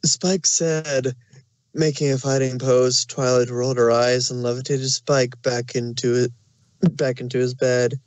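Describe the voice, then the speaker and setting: A man's voice: medium pitch, little pitch movement, a little slow, a little mellow, no room sound. Dry, close-sounding male story narrator. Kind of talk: scripted fan fiction narration.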